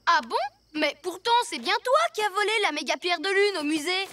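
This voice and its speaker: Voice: extremely high pitch, a little fast, noisy background. A performer playing a scheming boy villain in a cartoon dub, a theatrical, menacing mad scientist, with music behind. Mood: sly, coaxing. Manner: theatrical, gloating, falsely sweet.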